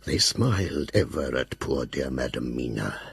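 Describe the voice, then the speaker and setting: An old vampire-hunting professor in a gothic audio drama. His voice is extremely low and badly rasped. He narrates his journal slowly and gravely, full of dread. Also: animated, dry recording, full character voice. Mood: dread, fierce hope. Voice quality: gravelly, husky.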